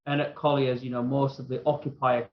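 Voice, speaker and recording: A man answering as a webinar panelist in a measured way, opening his points with "look". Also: medium pitch, level tone, lo-fi sound. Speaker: panelist from a real-estate firm.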